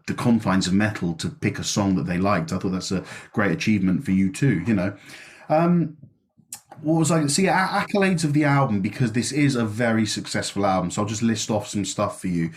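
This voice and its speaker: A lower-voiced man co-hosting a laid-back music podcast. He talks in an easy, chatty way and often ends his points with tag questions. He reads off facts and figures in a steady listing manner. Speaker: podcast co-host. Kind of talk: casual chat.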